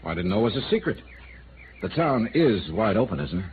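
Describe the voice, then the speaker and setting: A tinny, hissy vintage radio recording carries a very deep-voiced male investigator. He is cool and dry, probing without hurry in hardboiled noir style, with widely swinging intonation. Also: sing-song. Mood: casual probing. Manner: dry, unhurried, hesitant probing questions. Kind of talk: 1950s radio noir drama acting.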